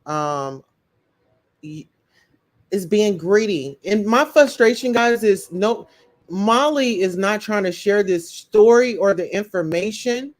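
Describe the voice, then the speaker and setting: A woman's voice: mid-range voice, expressive, slow pace. Woman, live-stream commentary host, outspoken, mid-range voice, chatty. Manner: outspoken, addresses chat viewers directly.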